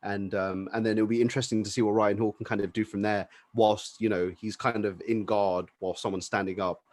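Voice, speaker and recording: A man with a lowish voice, recorded close with no room sound. He gives casual, conversational sports analysis as a podcast co-host, working out his thoughts as he goes. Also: flat delivery, fairly quick, darkish tone, no echo.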